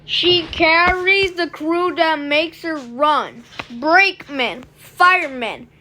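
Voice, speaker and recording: Slow, high-voiced woman reading a storybook. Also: sharp tone, a little hiss or hum, poor audio. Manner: deliberate, emphatic phrasing with pauses between short lines. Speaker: storybook reader. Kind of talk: expressive picture-book read-aloud.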